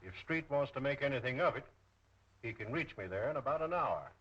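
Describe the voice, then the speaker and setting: An actor plays a composed, deliberate villain in a 1930s mystery film. He is a man with a middle-pitched voice, heard on a dull old soundtrack. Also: expressive, noisy background, natural voice.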